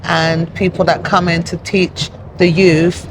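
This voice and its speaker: A woman's voice: fairly low pitch, flat delivery, a little slow, background noise. A woman from Nigeria, a guest in an interview, talking earnestly and plainly with frequent fillers. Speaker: interview guest, community project founder. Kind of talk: conversational interview.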